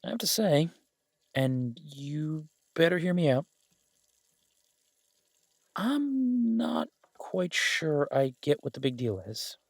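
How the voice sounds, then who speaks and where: Man acting slow-talking lovesick mafia boss. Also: on the high side, big pitch swings, gravelly, dry recording, clean studio sound, full character voice. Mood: dry, bemused. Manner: halting, rambling, drawn-out pauses, occasionally swearing. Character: lovesick mafia boss in hiding, rambling, tender, wry. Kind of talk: roleplay audio drama, intimate voice message monologue.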